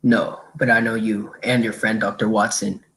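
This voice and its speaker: Man playing low-pitched, suave French police inspector. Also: level tone, normal speed, a bit bright. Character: smooth, self-assured male French police inspector. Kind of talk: radio drama acting.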